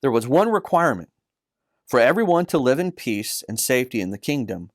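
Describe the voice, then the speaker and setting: A man reading a scripted children's Bible story as narrator, with earnest, deliberate phrasing. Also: medium pitch, very animated, no room sound, studio quality. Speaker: author and narrator.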